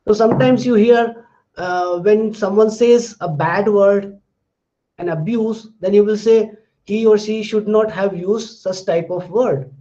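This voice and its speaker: High-voiced Indian man lecturing methodically. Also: measured pace, warm tone, highs cut off. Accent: Indian English. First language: Hindi. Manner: methodical, recaps terms, tags points with "fine". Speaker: linguistics lecturer. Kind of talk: classroom lecture.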